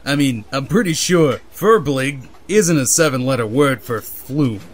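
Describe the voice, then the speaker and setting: A man voicing a gruff, exasperated newspaper boss in a comic-book dub, speaking bluntly. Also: mid-range voice, lively intonation, a little slow.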